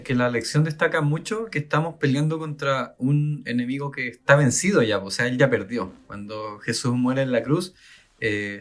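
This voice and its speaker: A man's voice: mid-range voice, fairly bright tone. A Chilean man co-hosting a podcast, talking thoughtfully with many fillers and reading passages aloud. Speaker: podcast co-host. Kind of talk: casual talk and reading aloud.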